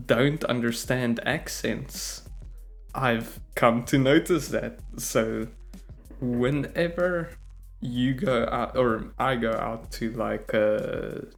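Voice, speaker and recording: A man's voice: mid-range voice, vocal fry. A South African man, a native Afrikaans speaker, co-hosting a casual podcast chat, hesitant and laughing often. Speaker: podcast co-host. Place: living in America.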